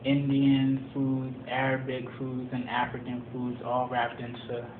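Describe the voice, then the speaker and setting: A young man from Tanzania, a college student, answering interview questions in a measured, explanatory way with many pauses and ums. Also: mid-range voice, monotone. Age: young adult. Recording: echoey room over a tinny phone-like line with background noise.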